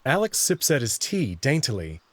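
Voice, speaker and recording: A man's voice: medium pitch, wildly swooping pitch, normal speed, slight background noise. A man reading scripted first-person narration for a visual novel.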